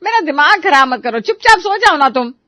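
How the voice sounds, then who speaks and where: A woman's voice: fairly high pitch, a little fast, no echo, studio quality, lightly acted. A woman voicing an irritable, scolding older mother-in-law and grandmother in a dramatised story. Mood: annoyed. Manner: sharp, nagging, indignant.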